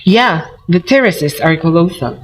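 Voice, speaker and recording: A man's voice: on the high side, animated, unhurried. A man playing a curious, earnest American traveller in a dramatic story reading. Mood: impressed, earnest. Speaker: student voice actor. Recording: noisy amateur recording.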